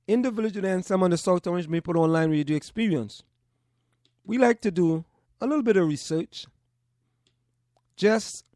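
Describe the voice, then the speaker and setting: A man from Trinidad and Tobago, hosting a call-in radio show and speaking in measured, short broken phrases. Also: high voice, a little mellow, no echo, clean studio sound. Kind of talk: call-in radio talk monologue.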